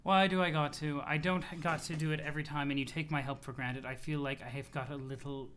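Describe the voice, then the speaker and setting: A composed troll lady, read by a man, with a bright, forward tone. She speaks in measured, wordy sentences and dry disapproval, as in a webcomic read aloud. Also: fairly high pitch, steady tone, slight background noise, natural voice. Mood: dry, reproving. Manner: measured, wordy, dryly reproving.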